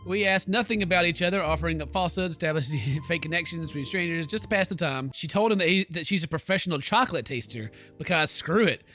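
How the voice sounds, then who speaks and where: A man's voice: a little high, fast, hardly any pauses, sharp tone. A man hosting a game playthrough, reading story text aloud and adding joking, playful asides. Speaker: gaming video host. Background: game music.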